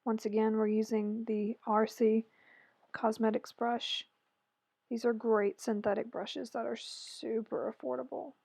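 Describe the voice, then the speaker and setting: A woman with a hoarse, raspy voice chatting through a makeup tutorial voice-over, rambling and correcting herself as she goes. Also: a little high, flat delivery, a little slow, darkish tone, no room sound. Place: voice-over recorded separately from the video.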